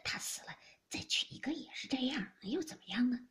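Lady doing a grumbly hot-headed husband in an audiobook, husky n blustery. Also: sits high, expressive, brisk, a bit bright, whispered, pitched above the performer's own voice, heavily acted. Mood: hushed, sulky. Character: drunken, hot-tempered, sulky young husband. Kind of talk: dramatised audiobook of classic novel.

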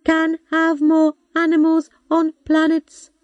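A woman voicing an ancient, lonely zoo computer that speaks in slow, broken, simple phrases. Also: very high-pitched, completely flat delivery, no room sound, dull audio, voice pushed up. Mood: plain.

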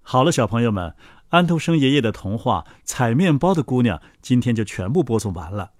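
Man telling children's stories with lively, swooping intonation. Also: medium pitch, a little fast, no room sound, studio quality. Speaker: children's story narrator and host. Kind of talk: expressive scripted fairy-tale narration.

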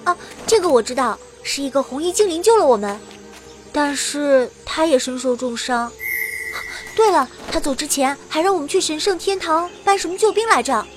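High, cheeky adventurer girl. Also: lively intonation, moderate pace. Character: boastful, chatty young female adventurer who also narrates. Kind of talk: audio drama acting with playful narration.

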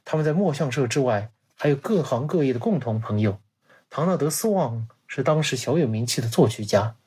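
A man's voice: medium pitch, a little mellow, breathy edge. A man giving a scripted, dramatized narration like a radio play, acting out quoted dialogue as he tells it. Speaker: guest storyteller.

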